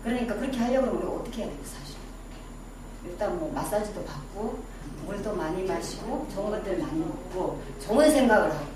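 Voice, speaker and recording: A woman's voice: mid-range voice, lively intonation, fast talker. Middle-aged woman, Daegu accent, chatty lecturer who quizzes the class, caught from afar in a very echoey room. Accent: Daegu Gyeongsang dialect. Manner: chatty, quizzes the audience, self-deprecating asides. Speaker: image-making lecturer. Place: lecture room with a small audience. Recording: very echoey room, distant mic, background noise.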